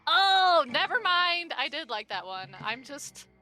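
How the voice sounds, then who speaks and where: A woman with a high voice that swings widely in pitch banters casually about games. She is informal and playfully blunt, with music clearly audible behind her. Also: very animated, unhurried, pushed and bright. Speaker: guest co-commentator. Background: background music.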